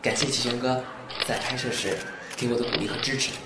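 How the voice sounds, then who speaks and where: A man's voice: mid-range voice, flat delivery, quick pace, heavy background noise, close to an everyday voice. A man playing an earnest, modest young novice actor in an audio drama, sincere and deferential, with roomy echo. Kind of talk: Chinese audio drama acting.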